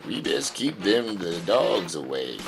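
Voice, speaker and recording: A man's voice: sits low, sing-song, takes it slowly, creaky, over music, full character voice. A man playing a weary, cautious, folksy drifter father in an audio drama, speaking with a solemn drawl. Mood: weary, patient.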